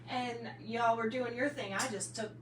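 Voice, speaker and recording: A woman's voice: on the high side, fairly even intonation, normal speed, far from the mic. An American woman chatting casually as a paranormal podcast co-host, with a blunt, teasing way of talking. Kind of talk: casual chat. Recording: echoey room, off-mic, noisy hum behind.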